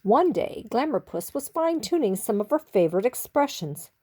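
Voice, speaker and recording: A woman reading a children's story expressively, with theatrical, drawn-out emphasis on key words. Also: a little high, sing-song, warm tone, husky.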